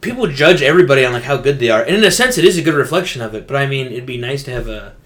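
A man's voice: medium pitch, lively intonation, rapid-fire. Rambling, slangy man venting casually. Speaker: podcast co-host. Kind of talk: casual gaming podcast chat.

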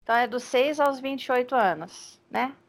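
A woman's voice: sits high, moderate pace, vocal fry. Hesitant woman player. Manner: hesitant, thinking aloud, laughs often. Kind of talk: casual tabletop play.

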